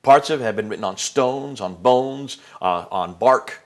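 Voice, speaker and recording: A man's voice: mid-range voice, measured pace. A man giving an explanatory lecture to an interviewer, emphatic, with rhetorical questions and frequent fillers and restarts.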